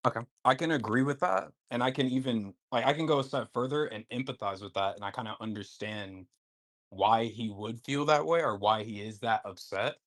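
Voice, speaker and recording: A man in a heated online debate, laying out his argument in a measured, explaining way. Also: mid-range voice, a bit bright.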